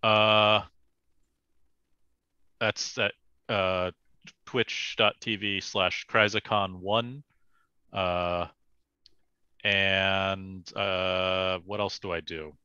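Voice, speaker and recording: Low-key man on a dull lo-fi mic. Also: flat delivery, extremely slow, long pauses, pushed and bright, clear, resonant tone, no room sound. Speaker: player and streamer. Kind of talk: casual chat.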